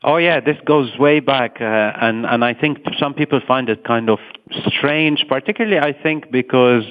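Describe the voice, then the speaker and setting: Lebanese man guest, thoughtful, halting; phone-line audio. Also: mid-range voice. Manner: thoughtful, hesitant with fillers and restarts. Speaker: guest, satirist. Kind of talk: casual interview talk. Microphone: remote phone or call line.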